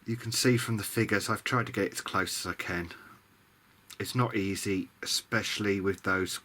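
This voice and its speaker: A man's voice: on the low side, steady tone, moderate pace, pushed and bright. A British man giving a casual, muttering commentary as he works on a repair, mildly grumbling and self-deprecating. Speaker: hobbyist electronics video diarist.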